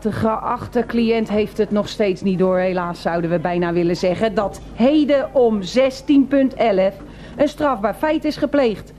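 An actress playing an officious, unbending store detective in a radio play, speaking pompous, long-winded officialese. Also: female voice, medium pitch, unhurried, mellow, natural voice. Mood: smugly formal. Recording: radio drama with faint background noise.

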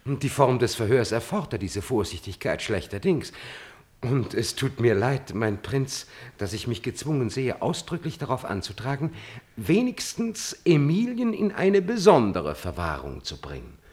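An actor playing a scheming, coldly insinuating courtier in a classical German radio drama, formal and falsely deferential. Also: male voice, mid-range voice, sing-song, moderate pace, a little mellow, natural voice.

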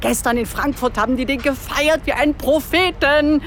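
High-voiced secretive campaign aide. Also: female voice, normal speed, slight background noise. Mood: conspiratorial. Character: female campaign aide, secretive, matter-of-fact political handler.

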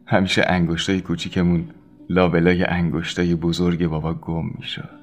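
Male reader, low register, sentimental scripted radio tribute, faint plucked-string music underneath. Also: monotone.

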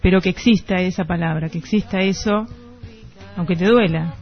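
A woman's voice: fairly low pitch, normal speed. A woman with an Argentine Rioplatense accent co-hosting a literary radio programme. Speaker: radio co-host. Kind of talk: literary radio talk with poetic narration and read quotes. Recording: dull lo-fi radio recording. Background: background music.

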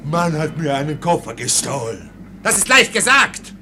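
An actor playing an exasperated, hot-tempered army first lieutenant in a German radio play, barking orders and sputtering. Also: male voice, on the high side, big pitch swings, moderate pace, background noise.

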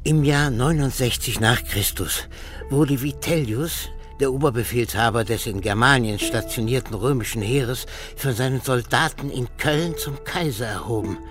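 An actor playing an arrogant, obsessed older archaeology professor in a radio drama, lecturing and full of contempt. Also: male voice, mid-range voice, normal speed, close to an everyday voice. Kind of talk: German radio drama acting. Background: music.

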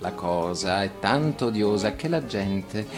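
An actor voicing a smiling, gentle, overly polite village policeman in a storybook reading, with a chuckling, courteous manner. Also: lowish voice, expressive, unhurried, music in the background. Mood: gentle, regretful.

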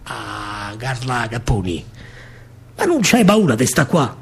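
A man on stage voicing a gentle, protective young soldier with a Roman accent. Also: medium pitch, very animated, slow pace, vocal fry, a little hiss or hum, pitched below the performer's own voice, heavily acted. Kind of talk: dramatic solo stage storytelling.

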